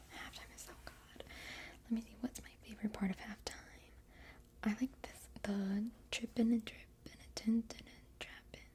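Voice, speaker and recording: Whispering young woman, dark and mellow. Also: mid-range voice. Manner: whispering, softly humming and half-singing lines; rambling, filler-heavy chatter. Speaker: vlogger. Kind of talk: whispered album unboxing chat.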